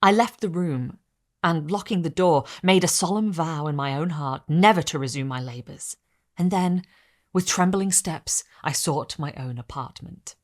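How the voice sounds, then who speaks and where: A woman hosting a candlelit reading series, reading a gothic novel aloud in a theatrical way and sometimes dropping to a hush. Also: sits low, a little slow. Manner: theatrical, hushed drops to a whisper. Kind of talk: dramatic reading of gothic fiction.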